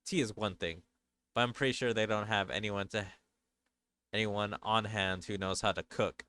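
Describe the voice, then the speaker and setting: A man's voice: lowish voice, fairly even intonation, moderate pace, bright, forceful tone, clear, resonant tone, dry recording. Man reading as a smug commanding demon lord. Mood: sly.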